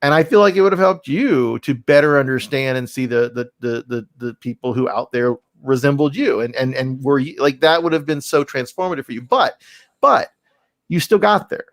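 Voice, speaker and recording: A man hosting a casual podcast interview, speaking in an effusive, emphatic, rambling way with a creaky voice. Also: mid-range voice, normal speed, no room sound, studio quality. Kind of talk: casual, enthusiastic interview chat.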